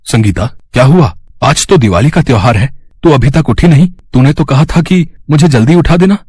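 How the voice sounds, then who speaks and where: A man's voice: mid-range voice, animated, normal speed, a little mellow, breathy edge, no room sound. A man voicing a worried, affectionate, poor father in a storybook tale, speaking in an anxious, plaintive manner.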